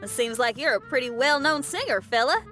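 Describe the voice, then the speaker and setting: Bright, upbeat young musician. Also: female voice, high voice, animated, a little fast, soft background music. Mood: amused. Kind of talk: video game cutscene acting.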